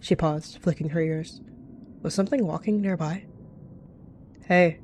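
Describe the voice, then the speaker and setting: A woman's voice: sits low, normal speed, dark tone, a little hiss or hum. A woman narrating an improvised roleplay story in the third person, as one of the players. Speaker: roleplayer narrating and voicing cat characters.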